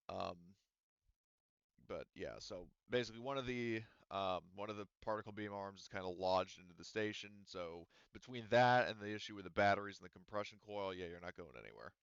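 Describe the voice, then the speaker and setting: Low, smooth-voiced man running a game. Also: a little fast, fairly bright tone, no echo, lo-fi sound. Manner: rambling, lots of ums and likes. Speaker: game master. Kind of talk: casual tabletop game narration. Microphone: headset or desk mic on voice chat.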